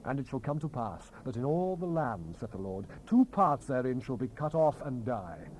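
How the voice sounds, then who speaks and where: A man reads scripture as solemn prophetic oratory, his pitch rising and falling widely for dramatic weight. His voice sits at a medium male pitch. The sound is a hissy seventies cassette transfer with faint ambience behind him. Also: sing-song, moderate pace, darkish tone, poor audio. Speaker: scripture narrator. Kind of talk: dramatized King James Bible reading.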